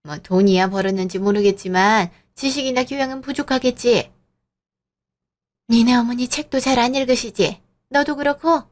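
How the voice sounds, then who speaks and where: A woman's voice: fairly high pitch, normal speed, close to an everyday voice. A woman voicing a snobbish, condescending mother-in-law in her sixties who lectures in an audio drama. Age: 60s.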